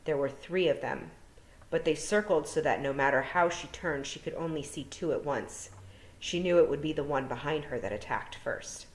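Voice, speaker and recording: A woman's voice: low voice, normal speed. A woman narrating a children's fantasy novel as a storytime reader. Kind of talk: storybook narration read aloud. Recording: roomy echo.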